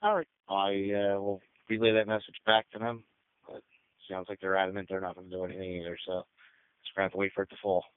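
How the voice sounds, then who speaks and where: A man's voice: deep voice, flat delivery, fast, clean tone, no room sound. A man working as a county dispatcher on two-way radio, speaking in a clipped, procedural, matter-of-fact way. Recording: muffled narrow-band two-way radio traffic.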